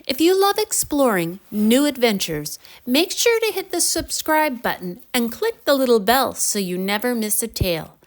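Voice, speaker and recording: A woman narrating a children's bedtime story in an expressive, deliberate storybook manner. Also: on the high side.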